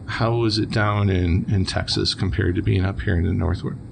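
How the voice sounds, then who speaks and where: A man's voice: low-pitched, fairly even intonation, moderate pace, gravelly, noisy background. A man from Wisconsin hosting a casual interview podcast, easygoing and chuckling as he asks follow-up questions. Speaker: podcast host.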